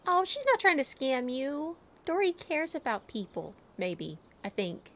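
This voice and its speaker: A woman's voice: sits high, very animated, a little slow. A woman streaming a game with casual, playful and wry commentary. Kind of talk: casual gameplay commentary, reading and reacting aloud.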